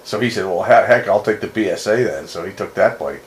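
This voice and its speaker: A man in casual on-air radio chat, speaking haltingly and repeating phrases, heard over a two-way radio. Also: on the low side, animated. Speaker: amateur radio net operator. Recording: noisy radio transmission with static.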